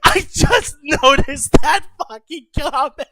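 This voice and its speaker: A man streaming, giving crude, casual reaction commentary, swearing constantly and breaking into loud laughter and shouts. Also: very high-pitched, slow, background noise.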